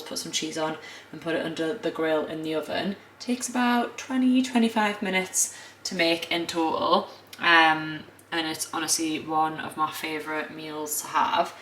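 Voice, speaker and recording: A young woman with a northern English accent, a bit croaky, chatting casually to camera as a food vlogger in her home kitchen, with lots of fillers and quick self-corrections. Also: low voice, steady tone, a bit bright, reverberant, a little hiss or hum. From northern England.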